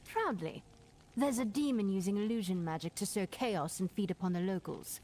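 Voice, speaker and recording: A woman's voice: medium pitch, normal speed, mellow, close to an everyday voice, low-quality recording. A woman voicing a brash, proud young demon hunter in a video game, blunt and commanding. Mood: brusque, determined. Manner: blunt, commanding, self-assured.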